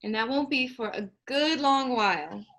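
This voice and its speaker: A woman's voice: high-pitched, moderate pace, fairly bright tone. A woman playing a devoted, practical grown daughter of a farm family in a staged play reading. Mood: tender.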